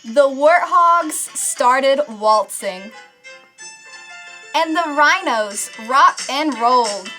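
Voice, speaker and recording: A woman reading a children's storybook aloud like a teacher, with deliberate, expressive phrasing. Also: sits high, faint music behind, low-quality recording.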